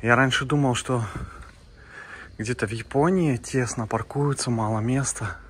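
A man's voice: medium pitch, fairly even intonation, measured pace, fairly bright tone. Chatty man vlogging outdoors, street noise. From Kazakhstan. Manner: chatty, addresses viewers as friends, fillers. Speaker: travel vlogger. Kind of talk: casual travel vlog narration. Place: outdoors in narrow town streets and in a car.

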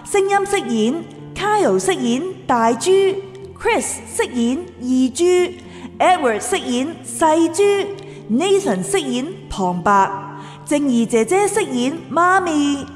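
A woman radio announcer reading a scripted broadcast announcement. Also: high-pitched. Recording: long reverb, echoing hall sound. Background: faint music.

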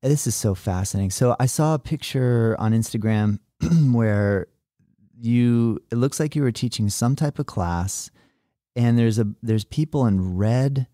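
A man's voice: medium pitch, a little slow, dark tone, no room sound, studio quality. An American man hosting a podcast interview, talking casually and heaping enthusiastic praise on his guest. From United States. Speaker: podcast host.

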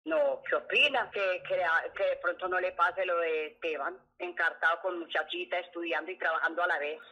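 A woman's voice: lowish voice, normal speed. A woman with a Colombian accent talking casually on a dull, lo-fi phone call. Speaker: relative being prank called.

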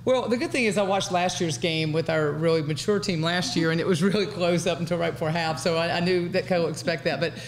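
A middle-aged American woman, a basketball coach answering at a press conference in a measured, halting way. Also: lowish voice. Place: indoor press conference room. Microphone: table microphone. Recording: faint room noise.

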